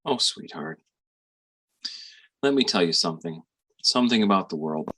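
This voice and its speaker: An actor playing a weary, tender middle-aged father and physician in a reflective, halting stage monologue. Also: low voice, expressive, fairly bright tone, slightly rough, heavily acted. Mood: somber, reflective. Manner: reflective, halting with sighs and pauses.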